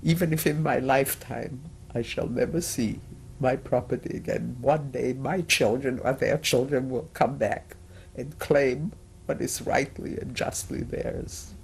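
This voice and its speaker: An older woman recounting her memories in an interview, her words coming slowly and haltingly. Her voice carries a gravelly, creaky texture, with frequent pauses as she searches for words. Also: a bass voice, fairly even intonation, darkish tone, slight background noise, rough recording. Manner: hesitant, many filler pauses; slow, measured. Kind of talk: oral history testimony.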